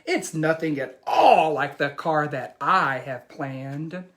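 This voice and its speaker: A woman's voice: deep voice, slow pace, voice pushed down. A woman voicing an imaginative, proud boy from a storybook, showing off his dream in an emphatic, showman-like read-aloud. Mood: dismissive, scheming.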